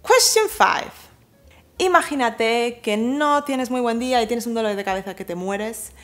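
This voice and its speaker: Woman hosting a quiz, lively highish voice, really stresses key words. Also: moderate pace, soft background music. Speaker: host and quiz presenter. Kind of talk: scripted presenter narration, quiz reading.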